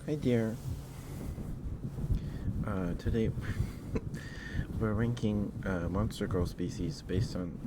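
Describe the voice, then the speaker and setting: A man's voice: low-pitched, very noisy. A man livestreaming ASMR, chatting casually and cooing affectionately to viewers, often dropping into a whisper close to the mic.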